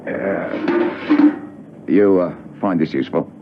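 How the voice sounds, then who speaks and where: A man playing a gruff, menacing henchman in a classic spy radio drama. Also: deep voice, slow pace. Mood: sly, menacing. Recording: dull, very noisy old radio recording.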